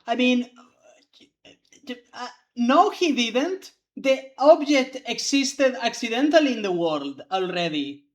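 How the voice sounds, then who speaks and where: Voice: medium pitch, animated, slow. Rambling young law student, heavy fillers. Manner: rambling, hedging with many fillers. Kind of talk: casual chat among players.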